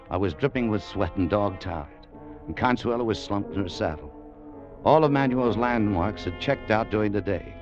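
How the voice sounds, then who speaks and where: An American actor playing a wary, hard-boiled gold-hunting adventurer in an old radio drama, with clipped, tough, colloquial delivery. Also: male voice, fairly low pitch, close to an everyday voice. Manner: clipped, tough, colloquial first-person narration. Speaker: radio actor, lead and narrator. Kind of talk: 1940s-50s adventure radio drama acting. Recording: muffled vintage radio recording, music underneath.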